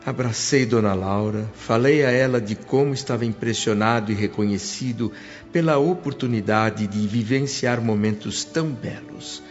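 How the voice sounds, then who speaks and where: A man's voice: fairly low pitch, moderate pace, close to an everyday voice. An actor playing a humble, sentimental spirit who recounts his afterlife in earnest, literary Brazilian Portuguese radio drama narration. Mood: moved, reflective. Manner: earnest, reverent, literary storytelling. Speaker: narrator and protagonist. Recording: muffled radio drama with music bed.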